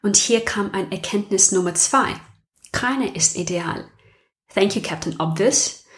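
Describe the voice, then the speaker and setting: A woman's voice: mid-range voice, unhurried. A young woman who speaks German as a non-native, hosting her podcast in an earnest, reflective monologue and putting questions to her listeners. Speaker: podcast host.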